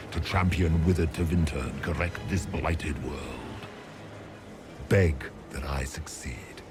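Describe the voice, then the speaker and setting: An imperious, ancient monster of a villain with an extremely deep voice and slow, deliberate delivery. He speaks in grand, menacing pronouncements full of contempt, like a video game god, over loud music. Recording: loud orchestral game soundtrack behind.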